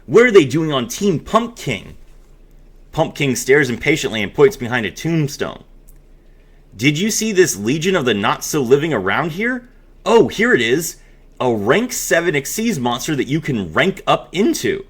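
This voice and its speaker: A man hosting a dramatic reading mixed with excited reaction commentary, expressive and given to amazed exclamations. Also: fairly high pitch, measured pace, slightly rough. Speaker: host.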